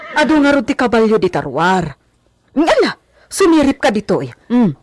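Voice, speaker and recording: A woman playing a nosy, excitable hacienda servant in a radio comedy drama. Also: fairly high pitch, moderate pace. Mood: alarmed.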